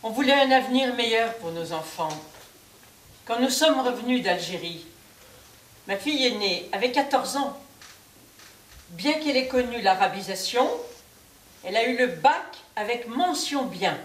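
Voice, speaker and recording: A woman performer giving a measured theatrical recitation of testimonies on a stage. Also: a little high, expressive. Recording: echoey stage, distant mic, faint noise.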